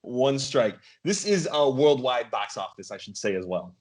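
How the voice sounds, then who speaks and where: A man's voice: medium pitch, expressive, normal speed. A man runs a game on a casual podcast. His voice sits in a middle range, and he talks playfully, sliding into mock-announcer delivery as he keeps the game moving. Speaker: game show host on a podcast.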